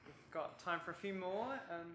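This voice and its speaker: A woman chairing a question session, briskly calling on audience members. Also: fairly low pitch, steady tone. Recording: echoey, very noisy, off-microphone with clicks.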